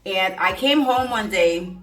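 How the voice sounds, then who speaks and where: A woman in her forties from Haiti, a vlogger telling her life story in a chatty, animated way and calling her viewers "y'all". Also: mid-range voice, measured pace, echoey room, soft background music. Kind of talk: casual storytelling to camera.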